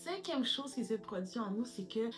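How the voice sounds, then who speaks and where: A woman's voice: fairly high pitch, lively intonation, normal speed, soft background music. A woman, giving advice straight to camera as a lifestyle YouTuber, calling her viewers "girls" and mixing in English words. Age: 30s. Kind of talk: direct-to-camera advice vlog.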